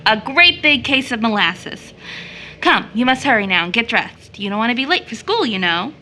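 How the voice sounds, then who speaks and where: A young motherly woman in an audio play, with a bright, fairly high voice. She is fond and playful, teasing and gently correcting as she goes. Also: big pitch swings, moderate pace, a little hiss or hum. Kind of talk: stage play audio drama acting.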